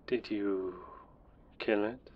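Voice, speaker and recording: An actor playing a stern, suspicious intelligence handler in an audio drama, sardonic and demanding, heard through a telephone. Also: male voice, fairly low pitch, fairly even intonation, drawn-out words. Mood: sneering, suspicious. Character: stern, sneering, suspicious German spy agency handler. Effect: telephone filter. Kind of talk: cinematic audio roleplay acting. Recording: dull, noisy phone line.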